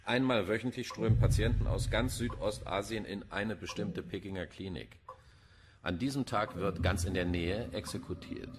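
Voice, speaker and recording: An actor in a radio crime drama playing a macabre forensic pathologist, dry, pedantic and sardonic. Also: male voice, on the low side, moderate pace, noisy background, lightly acted. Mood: dryly macabre. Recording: music behind the voice.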